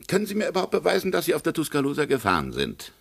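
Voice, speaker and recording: An actor in a German radio play playing a haughty, evasive American consul with a condescending, officious manner. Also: male voice, fairly high pitch, wildly swooping pitch, very fast, creaky, heavily acted. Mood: officious, probing.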